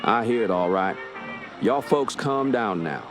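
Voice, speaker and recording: An actor playing a steady, authoritative police inspector, recounting a grim case in a radio drama. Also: male voice, lowish voice, unhurried. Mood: composed, authoritative. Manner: composed, authoritative. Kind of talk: radio drama narration and dialogue. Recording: music under the voice.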